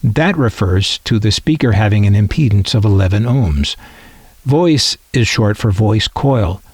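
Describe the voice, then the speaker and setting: A man's voice: lowish voice, expressive, a little slow, a little mellow, husky, no room sound. An American man from the Midwest narrating a scripted piece as a collector and presenter, with a wry, opinionated way of talking. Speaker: vintage radio collector and presenter.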